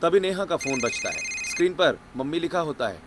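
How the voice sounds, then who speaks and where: Guy narrating a story script, voice a bit high, faint noise in back. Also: big pitch swings, brisk.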